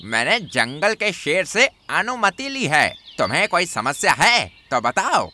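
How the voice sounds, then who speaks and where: आदमी की आवाज़ में अकड़ू चालबाज़ चूहा, खिंची बोली. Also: male voice, a little high, big pitch swings, pushed and bright, heavily acted. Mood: arrogant. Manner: arrogant, drawn-out delivery. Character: cocky scheming male mouse, a visiting stranger. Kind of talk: cartoon character acting.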